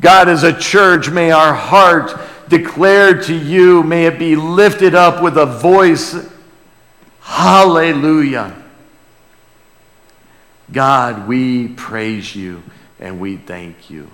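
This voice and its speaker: A man preaching and praying to a congregation, earnest in manner, swelling from hushed to emphatic. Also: a little high, takes it slowly. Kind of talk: sermon and prayer to a congregation. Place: large church room or hall. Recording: reverberant hall sound.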